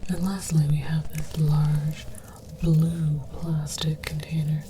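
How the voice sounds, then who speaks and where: A woman whispering breathily in a hushed, mock-scholarly ASMR roleplay as a calm, curious alien archaeologist presenting objects. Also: sits low, slow, background noise. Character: calm, curious alien archaeologist researcher studying human relics.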